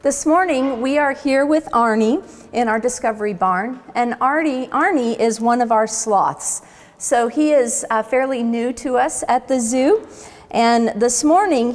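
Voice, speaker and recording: Female zoo educator for children's storytime: fairly high voice, slow pace, recorded with long reverb. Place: animal barn at a zoo. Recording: long echoing hall reverb.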